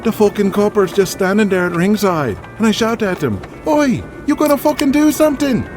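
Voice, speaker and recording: A man's voice: high voice, animated, a little fast. A man voicing a crude, boastful Irish wrestler in an audio drama, rowdy and foul-mouthed, with a music bed behind. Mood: rowdy storytelling.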